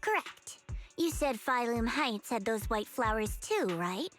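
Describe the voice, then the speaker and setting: A confident, teasing young investigator from a game. Her high voice swings lively up and down as she needles her companions with knowing remarks. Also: measured pace, faint music behind. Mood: brisk. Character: sly, teasing young woman investigator, self-assured.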